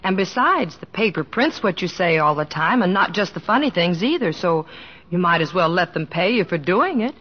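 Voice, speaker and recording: A woman acting as a comedian's devoted wife, earnest and gently nagging, narrating and playing scenes in an old radio drama. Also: lowish voice, big pitch swings, normal speed. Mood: earnest coaxing. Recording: dull vintage radio recording, faint music.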